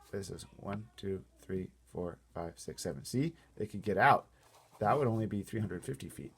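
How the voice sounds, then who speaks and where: A man reading a storybook aloud in an animated way, playfully breaking off for casual asides to wonder aloud. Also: on the low side, slightly rough.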